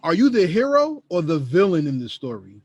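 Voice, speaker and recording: Man, podcast host, fairly high voice, dry close sound. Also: big pitch swings, a little fast, no echo. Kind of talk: interview questions.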